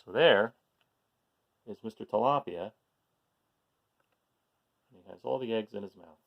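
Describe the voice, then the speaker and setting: A man hosting a children's read-aloud, reading a storybook expressively with casual, curious, gently explanatory asides. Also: fairly low pitch, unhurried, creaky, lo-fi sound.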